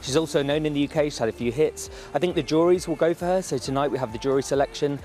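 Fast-talking male pundit. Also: fairly high pitch, darkish tone, a little hiss or hum. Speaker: pundit being interviewed. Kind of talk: casual commentary.

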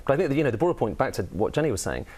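A man's voice: mid-range voice, sing-song, rapid-fire, darkish tone, husky. A man commenting on economics in a panel debate, thinking aloud and hedging with frequent qualifiers. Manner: thoughtful, hedging, many "kind of" and "I mean".

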